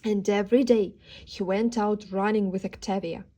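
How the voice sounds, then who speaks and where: A woman's voice: medium pitch, fairly even intonation, normal speed, warm tone. A woman narrating a children's storybook as a teacher would, expressively, with dramatic emphasis on key words. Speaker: teacher reading aloud.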